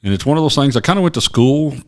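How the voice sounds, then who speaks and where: A man in his forties from Oklahoma, a retired police officer, telling his story as a podcast guest in a small office studio, rambling and halting with many fillers. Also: mid-range voice, expressive. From Oklahoma, USA. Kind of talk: casual interview storytelling.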